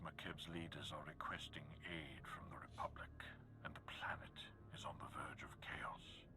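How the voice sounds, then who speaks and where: A man voicing a grave, commanding Sith lord in a video game, with a breathy, whispery rasp and solemn, formal delivery. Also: a bass voice. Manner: slow, solemn, formal pronouncements. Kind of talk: dramatic video game cutscene acting. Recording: game audio with music underneath.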